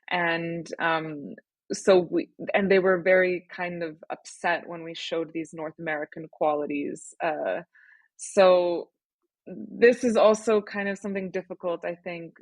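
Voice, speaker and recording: A woman in her thirties from Canada, talking things over as a podcast guest. Her voice sits low for a woman, and she is recorded close on a dry mic with no room sound. She answers in a thoughtful, reflective way and laughs easily. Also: no echo. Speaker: guest, startup founder. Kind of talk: casual interview conversation.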